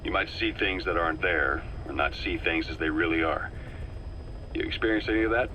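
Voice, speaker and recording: An actor playing a calm, middle-aged spy and military advisor in a video game, speaking in a measured, knowing way with deliberate pauses. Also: male voice, low voice, lo-fi sound, a little hiss or hum, natural voice. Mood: attentive, matter-of-fact. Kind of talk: dramatic scripted briefing and dialogue in a video game.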